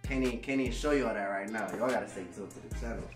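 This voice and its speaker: A man's voice: on the low side, racing, far from the mic. A man in his twenties with an American accent, giving a casual, slangy vlog tour and often repeating phrases for emphasis. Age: 20s. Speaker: vlogger giving an apartment tour. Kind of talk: casual vlog chat to viewers. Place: small empty apartment indoors. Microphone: handheld camera a few steps away. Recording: echoey empty room, off-mic, music behind.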